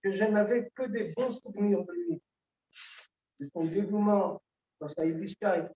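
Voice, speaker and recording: A middle-aged man giving a funeral eulogy for his mother, grieving and halting, with repeated words and long pauses. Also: sits high, mellow. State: grieving, emotionally moved. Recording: echoey hall, distant dull mic.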